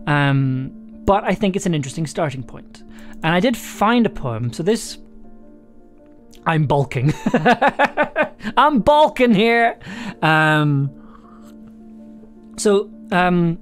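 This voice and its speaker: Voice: medium pitch, big pitch swings, measured pace, pauses often. Hesitant, chatty live streamer over faint guitar. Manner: hesitant, many fillers and restarts, laughs easily. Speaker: audio drama writer streaming live. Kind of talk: casual live-stream chat, reading prompts aloud.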